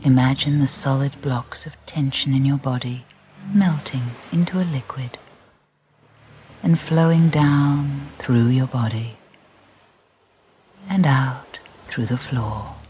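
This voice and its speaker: A soothing, gentle voice guiding a relaxation and visualisation exercise, deliberate and with long pauses. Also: mid-range voice, fairly even intonation, slight background noise. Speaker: guided relaxation instructor. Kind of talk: guided visualisation narration. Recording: muffled recording with music behind. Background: background music.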